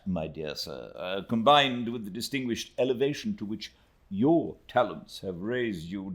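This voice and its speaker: Man voicing a pompous middle-aged gentleman, florid, self-pitying, deep voice, grandly theatrical, storybook. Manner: grandiloquent, swelling and dropping theatrically.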